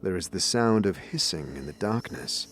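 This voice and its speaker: A man narrating an audio drama and voicing its protagonist in slow, even tones. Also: sits low.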